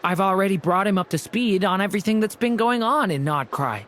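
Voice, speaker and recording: An actor voicing a polite, gentle being newly in human form in a game cutscene. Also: mid-range voice, brisk, slight background noise, natural voice.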